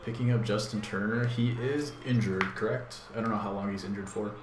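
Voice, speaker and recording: A man with a deep voice hosting a gaming channel, thinking aloud in a laid-back, rambling way with plenty of fillers while he plays. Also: fairly even intonation, brisk, crowd noise. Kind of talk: casual live gameplay commentary. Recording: echoey room with background noise.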